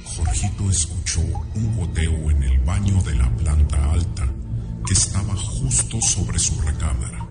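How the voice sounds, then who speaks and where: A man playing a grave, sinister storyteller with a Mexican accent, narrating a horror radio drama slowly and ominously. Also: low-pitched. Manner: slow, ominous, deliberate. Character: grave, sinister male teller of a horror tale. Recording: loud music under the voice. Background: loud music.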